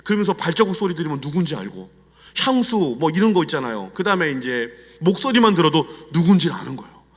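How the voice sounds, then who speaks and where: Guest preacher, middle-aged man, Chungcheong accent, slightly high voice, lively storytelling, long hall echo. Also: quick pace. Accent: Chungcheong Korean. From Chungcheong, Korea. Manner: animated, confiding storyteller. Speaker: guest pastor. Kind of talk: storytelling sermon. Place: church sanctuary. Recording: long church hall reverb.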